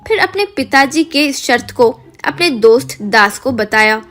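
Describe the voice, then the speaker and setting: A woman's voice: high voice, lively intonation, unhurried, fairly bright tone, soft background music. A woman narrator reading a scripted moral tale. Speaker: story narrator.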